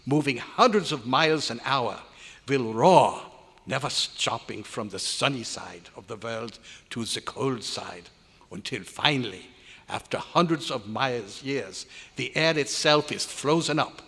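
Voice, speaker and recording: Grumpy pompous german professor, old radio drama, forceful voice ringing in a big hall. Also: male voice, medium pitch, animated, unhurried, slightly rough, full character voice. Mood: grandiose. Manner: grandiose, exasperated, declamatory. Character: pompous, irritable male German scientist, contemptuous of visitors. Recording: echoey hotel hall live performance.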